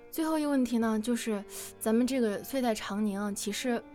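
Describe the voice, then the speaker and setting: A woman with a fairly high voice hosts a casual live interview. She speaks conversationally as she puts questions to her guests, with music playing behind her. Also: normal speed, mellow. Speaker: livestream host interviewing voice actors.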